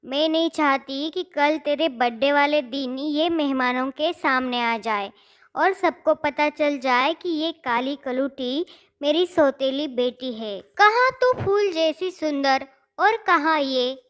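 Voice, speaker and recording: A woman's voice: high voice, takes it slowly, fairly bright tone. A woman voicing a cruel, scornful stepmother who scolds with contempt in a cartoon story. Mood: contemptuous. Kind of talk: Hindi cartoon moral story acting.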